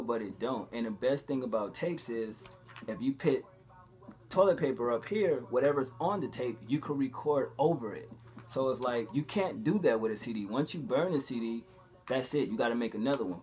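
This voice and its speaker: A man's voice: medium pitch, darkish tone. Casual, slangy man vlogging. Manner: slangy, profane, conversational. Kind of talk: casual vlog talk to viewers.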